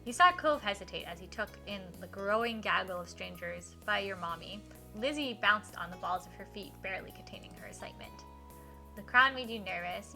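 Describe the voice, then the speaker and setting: A woman streaming a visual novel, reading it aloud and reacting in an enthusiastic, expressive way. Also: mid-range voice, vocal fry. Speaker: game streamer.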